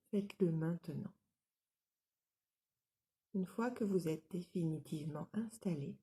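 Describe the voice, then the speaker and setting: A woman guides a relaxation session at a very slow pace. Her voice is very dark and soft, with a noticeable creak. She gives each instruction in a calm, soothing, measured way. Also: on the low side. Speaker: yoga nidra relaxation instructor.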